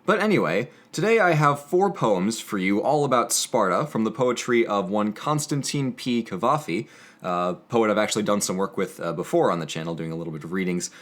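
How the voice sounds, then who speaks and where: A man hosting and reading poetry, moving between casual commentary and measured, theatrical literary delivery. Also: lowish voice, lively intonation, fairly bright tone. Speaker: host of an educational channel.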